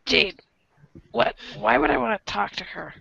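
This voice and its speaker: Casting: woman to play an irritable, profane young male troll for amateur theater; bright, high-leaning voice, dry but muffled recording. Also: very animated, moderate pace, gravelly, heavily acted. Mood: exasperated. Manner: cranky, profane ranting. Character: grumpy, foul-mouthed young male internet troll, exasperated.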